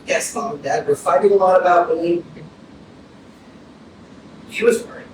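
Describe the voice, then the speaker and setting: An actor in a staged play reading, playing a troubled young killer who confesses in halting, terse answers. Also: medium pitch, natural voice. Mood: halting, guarded. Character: subdued troubled young man confessing to killing his family. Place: arts center stage, distant mic. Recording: echoey hall from far off, faint noise.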